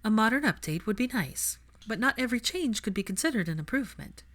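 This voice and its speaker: A woman's voice: a little high, expressive, fast, a bit bright, pitched above the performer's own voice. A woman narrator voicing a principled, stubborn young witch who speaks in a pointed, questioning way in a fan fiction reading. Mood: exasperated, pointed.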